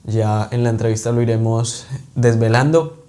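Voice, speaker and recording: A man's voice: mid-range voice, steady tone, normal speed, mellow. A man hosting a podcast interview in a casual, joking way. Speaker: podcast host.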